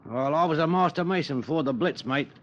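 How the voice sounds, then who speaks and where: An actor playing a plain-spoken working-class London mason in a 1950s radio drama. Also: male voice, fairly high pitch, brisk, close to an everyday voice. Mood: matter-of-fact. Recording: muffled vintage radio recording.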